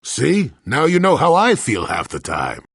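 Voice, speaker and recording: A male actor playing a crusty, wry old hermit and former Jedi in a video game, with a gravelly, husky voice and a grumbling storyteller's manner. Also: mid-range voice, huge pitch swings, measured pace, fairly bright tone, dry recording, studio quality, exaggerated voice. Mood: teasing, curmudgeonly. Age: older. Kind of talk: video game character dialogue.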